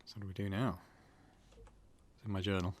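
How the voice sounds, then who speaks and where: Low-voiced man hosting a let's play. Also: level tone, fairly quick, a little mellow, slight background noise. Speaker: gaming channel host. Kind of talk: casual let's play commentary.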